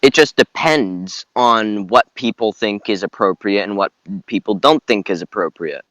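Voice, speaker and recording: A man chatting casually on a group podcast, haltingly and often repeating his phrases. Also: lowish voice, a bit bright, no echo, low-quality recording. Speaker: podcast panelist.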